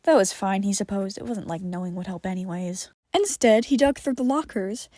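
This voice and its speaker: A woman's voice: mid-range voice, moderate pace, dark tone, dry recording, clean studio sound. A woman reading scripted fiction aloud as a narrator.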